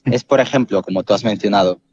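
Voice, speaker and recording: A man hosting a podcast, calmly explaining ideas step by step with examples. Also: fairly low pitch, fast. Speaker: podcast host. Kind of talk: explanatory casual chat. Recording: dull, muffled sound.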